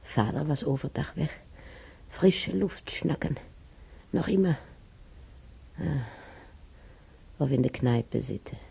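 Elderly German emigrant, radio play monologue. Breathy, gravelly, slow, nostalgic, rambling, German accent. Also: male voice, on the high side, animated, mellow, heavily acted. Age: older. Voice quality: husky, breathy, creaky. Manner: rambling, halting reminiscence with German phrases. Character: elderly German emigrant fondly reminiscing about family. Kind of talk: intimate radio drama monologue.

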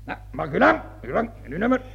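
An actor in a radio play voicing a stubborn, muddled army sergeant, blunt and colloquial, with a raspy voice. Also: male voice, high voice, sing-song, quick pace, heavily acted. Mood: suspicious. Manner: blunt, colloquial, repeating himself in confusion. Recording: dull old radio recording.

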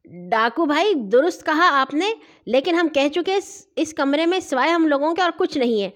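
Woman doing a nervous polite big brother in a story, high voice, argues a bit. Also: lively intonation. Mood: polite, placating. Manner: timid, polite. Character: timid older brother, polite and wryly argumentative.

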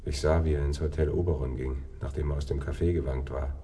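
An actor playing a laconic, dry-humoured police detective with a terse, businesslike delivery in a radio play. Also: male voice, very deep voice, steady tone, fast talker, mellow, slight background noise.

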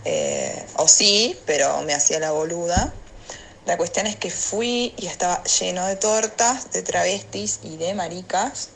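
A woman's voice: lowish voice, very animated, measured pace, vocal fry. Woman, noisy audio message. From Salta, Argentina. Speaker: contributor of a recorded audio message. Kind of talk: casual personal anecdote. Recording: background noise and ambient sound.

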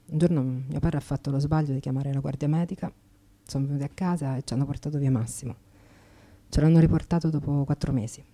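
An actress playing a weary, frank Roman streetwalker, confiding in a subdued, plainspoken dramatic monologue with a Roman accent. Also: female voice, very deep voice, mellow. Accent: Roman Italian.

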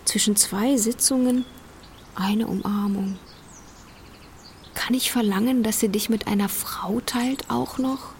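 A woman's voice: a little high, fairly even intonation, slow pace, pauses often, darkish tone, a little hiss or hum. A woman playing a frank, intense lover of a married man in a radio drama. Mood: bitter, wry.

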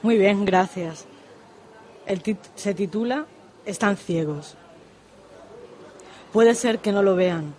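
A woman's voice: mid-range voice, slow, pauses often, a little mellow. A woman poet speaking as a guest on a radio show, reciting verse and giving short replies. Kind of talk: poetry reading and brief interview replies.

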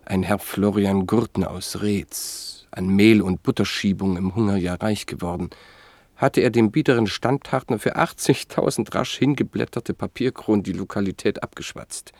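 A man narrating a radio play in a measured, solemn manner. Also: on the low side, darkish tone, dry recording.

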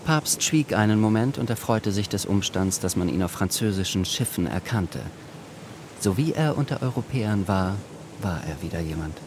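A man reading scripted literary narration for a radio drama in a measured, reflective manner. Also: fairly low pitch, lively intonation, noisy background. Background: music.

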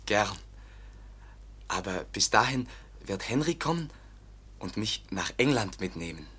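Halting, melancholy young foundling. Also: male voice, on the low side, sing-song, a bit bright, husky, exaggerated voice. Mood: trusting, insistent. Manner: halting, plaintive, simple phrasing. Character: melancholy, fearful young foundling, naive and brooding. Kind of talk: German radio drama acting.

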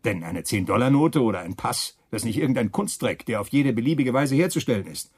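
A man's voice: medium pitch, lively intonation, fairly quick, a little mellow, husky, no room sound, studio quality. An actor playing a pushy, persuasive male wartime schemer in a German radio play, chummy with a veiled threat. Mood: scornful, grandiose.

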